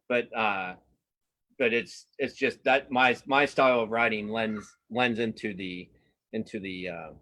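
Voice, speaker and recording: A man's voice: lowish voice, fairly bright tone. A man speaking casually as an interview guest, a cartoonist and writer who often repeats words and restarts his phrases.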